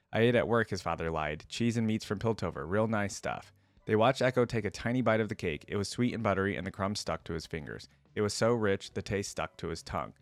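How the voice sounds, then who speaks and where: A man's voice: on the low side, no echo. A man hosting a reaction video, reading a story aloud and commenting on it as a casual fan. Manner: casual, slangy asides.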